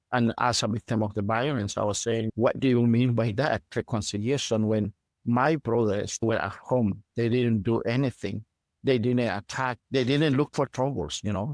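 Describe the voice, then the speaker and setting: A middle-aged man from Guatemala with a Guatemalan Spanish accent gives personal testimony as a refugee advocate, in halting, repetitive non-native English phrasing. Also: mid-range voice, darkish tone, no echo, clean studio sound. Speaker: refugee advocate and settlement worker.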